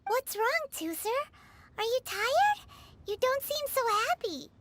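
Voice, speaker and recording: A woman voicing a tiny, cheeky, excitable fairy companion in a video game, squeaky and exclamatory. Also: takes it slowly, noisy background, heavily acted. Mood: puzzled, concerned. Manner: exclamatory, speaks of herself in third person. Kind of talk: video game character acting. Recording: game music behind the voice. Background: game music.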